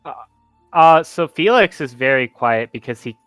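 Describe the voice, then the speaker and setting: A man's voice: a little high, lively intonation, moderate pace, fairly bright tone, clear, resonant tone, dry recording, faint music behind. A man chatting casually as a player in a tabletop game. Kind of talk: casual chat.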